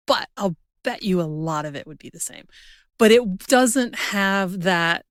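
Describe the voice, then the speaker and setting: A woman with a somewhat low voice speaks as a podcast host. Her delivery is informal and conversational, as in a relaxed interview exchange. The recording is dry, with very little room sound. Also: dry recording.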